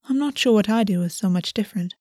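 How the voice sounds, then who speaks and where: A woman reading the part of a composed, sly male courtier in a fantasy audiobook, often speaking softly and half-whispered. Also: mid-range voice, fairly even intonation, normal speed, dry recording, clean studio sound, close to an everyday voice. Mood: explaining. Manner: soft, breathy, half-whispered speech.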